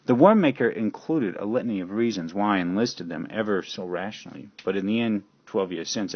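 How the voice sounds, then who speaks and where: A man's voice: on the low side, dry recording. Solemn man narrating, dry lo-fi sound. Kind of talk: amateur radio drama narration. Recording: dull lo-fi audio.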